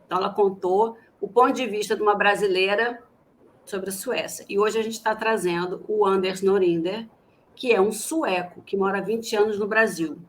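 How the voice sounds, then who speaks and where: A woman co-hosting a livestream talk show, chatty and lively. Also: mid-range voice, moderate pace, a little hiss or hum. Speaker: co-host.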